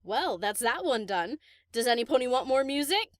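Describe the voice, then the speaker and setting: Woman as a high, breezy DJ pony. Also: sing-song, moderate pace. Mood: playful, upbeat. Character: playful, casual young female DJ pony.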